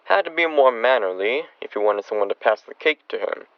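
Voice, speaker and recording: A man's voice: medium pitch, lively intonation, a little fast, clean tone, no room sound, dull audio, close to an everyday voice. A young man playing a father muttering to himself at home in a radio comedy. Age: teenager or young adult. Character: grown father reading the paper at home.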